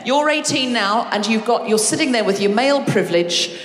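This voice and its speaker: Woman comedian, fairly high voice, quick teasing crowd work, echoey concert hall with long reverb. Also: animated. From Australia. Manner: quick, teasing, chatty with audience. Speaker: comedy podcast host. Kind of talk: live stand-up crowd work. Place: large concert hall with live audience. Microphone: stage microphone.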